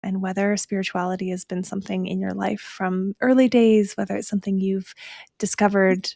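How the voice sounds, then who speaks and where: A woman's voice: medium pitch, flat delivery, a little fast, vocal fry, darkish tone, no room sound, clean studio sound. A woman hosting a podcast, introducing her guest with enthusiastic, affirming talk. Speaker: podcast host. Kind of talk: podcast interview.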